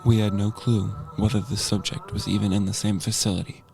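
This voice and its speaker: Low, gravelly man playing a grim horror narrator. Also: little pitch movement, fairly quick, a little mellow. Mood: ominous, grim. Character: grim male experiment researcher recounting horrors, cold and haunted. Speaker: narrator-actor in a horror radio drama. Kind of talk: dramatic first-person scripted narration.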